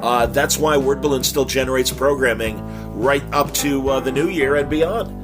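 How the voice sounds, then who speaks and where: A man's voice: mid-range voice, moderate pace, low-quality recording. A man hosting a podcast, speaking casually and unscripted straight to listeners in a friendly, rambling way. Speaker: podcast host. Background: guitar music.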